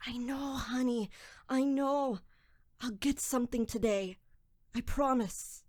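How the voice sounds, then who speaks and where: A woman playing a desperate, guilt-ridden poor young man in a melodramatic audio horror drama, anguished and halting. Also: a little high, fairly even intonation, darkish tone, no echo, studio quality. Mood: weary, despairing.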